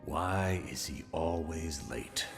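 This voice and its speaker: An actor playing a gruff, surly superhero brawler in a video game, with a gravelly growl and a curt, sarcastic manner. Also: male voice, a bass voice, slow pace, over music, full character voice. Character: gruff, surly male superhero brawler who resents orders. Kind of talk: video game cutscene acting.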